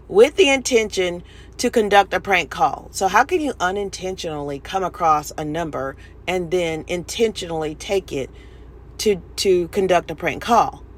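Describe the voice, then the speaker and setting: A woman hosting a commentary video, talking informally and emphatically with strong opinions about sports news. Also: mid-range voice.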